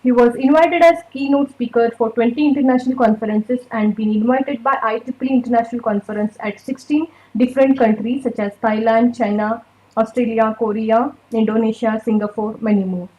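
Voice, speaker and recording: A woman's voice: a little high, fairly even intonation, a little fast, dark tone, low-quality recording. A woman with an Indian accent reading out a formal scripted introduction of a speaker as a conference announcer.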